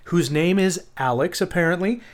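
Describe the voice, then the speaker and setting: A man's voice: high-pitched, moderate pace. A man co-hosting a podcast in casual chat, talking in a sarcastic, profane, ranting way. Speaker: podcast co-host.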